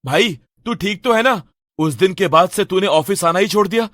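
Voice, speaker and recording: A man's voice: high voice, normal speed, fairly bright tone, dry recording, studio quality. A man playing a frightened, loyal young friend, nervous and pleading, in a horror story. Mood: worried. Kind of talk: Hindi animated horror story acting.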